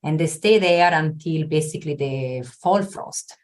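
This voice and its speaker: Low-voiced Italian-accented woman. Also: a little slow, a little mellow. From Italy. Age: middle-aged. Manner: explanatory, with frequent um and uh fillers. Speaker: viticulture researcher guest. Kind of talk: interview answers.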